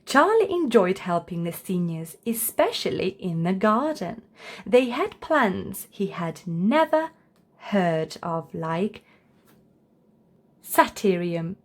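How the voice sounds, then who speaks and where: Slow, expressive woman reading a storybook. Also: mid-range voice. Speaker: storyteller for children. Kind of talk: scripted storybook read-aloud.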